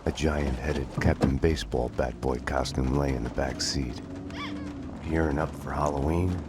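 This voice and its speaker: A man voicing a world-weary hardboiled police detective in a video game, deadpan and sardonic, in noir style. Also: rumbling low, normal speed, noisy background. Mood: dry. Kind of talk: noir voice-over narration and terse dialogue. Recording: music under the voice.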